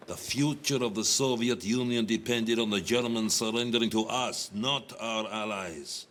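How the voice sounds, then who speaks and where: An actor playing a cold, stern Soviet commander who speaks in a measured, authoritative way, over loud string music. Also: male voice, on the low side, background noise, natural voice. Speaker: Soviet senior officer interrogating the narrator.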